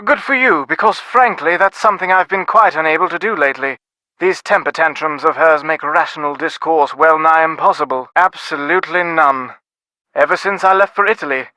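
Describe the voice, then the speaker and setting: An actor playing a busy, reserved middle-aged British diplomat in a video game, speaking in a formal, clipped, wordy way. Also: male voice, on the high side, fairly quick, sharp tone, no echo, rough recording. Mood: exasperated, reserved.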